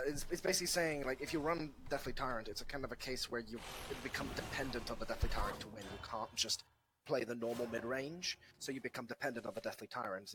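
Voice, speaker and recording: A man being coached, struggling to put his reasoning into words with halting restarts; English is not his first language. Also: mid-range voice, bright, forceful tone, slight background noise, poor audio. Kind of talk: casual conversation.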